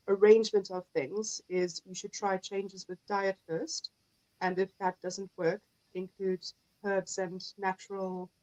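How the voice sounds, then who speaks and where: A South African woman speaks as a guest expert in an interview, choosing her words in a measured, articulate way. Her voice is slightly low and stays very even in pitch. The recording is close and dry. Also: no echo, low-quality recording. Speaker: guest expert, naturopathic herbalist. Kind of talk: explanatory conversational interview talk.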